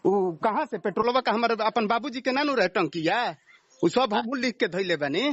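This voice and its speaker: A man's voice: sits high, normal speed, a bit bright, no room sound. A man with a Bhojpuri accent from Bihar arguing heatedly on a recorded phone call, combative and insistent, often tacking on tag questions. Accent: Bhojpuri-belt Bihar. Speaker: caller in a dispute.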